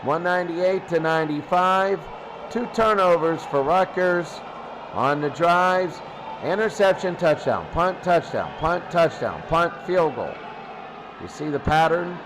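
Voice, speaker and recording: A man hosting a sports show, giving off-the-cuff football score commentary in clipped fragments with pauses. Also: a little high, lively intonation. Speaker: sports studio host. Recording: clearly noisy background.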